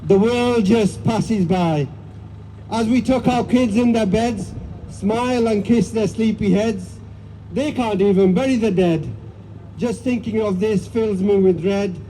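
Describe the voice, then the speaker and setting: Man, high voice, very dark mellow tone, earnest poem recitation, outdoor rally, faint traffic behind. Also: low-quality recording. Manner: earnest, measured reading with some ums at the start. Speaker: protest rally speaker. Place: outdoors in cold weather at a street rally.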